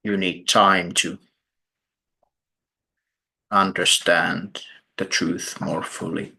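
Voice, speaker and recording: A man leading a guided meditation in a deliberate, measured way, leaving long pauses between short phrases. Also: deep voice, level tone, vocal fry, sharp tone, husky. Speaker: meditation teacher.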